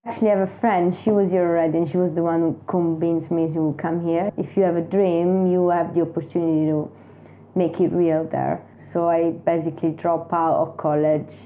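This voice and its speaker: A woman's voice: lowish voice, little pitch movement, moderate pace, dark tone, a little hiss or hum. A woman from Rome with an Italian accent, giving a conversational personal account as a documentary interviewee. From Rome, Italy. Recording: music playing behind the voice. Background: background music.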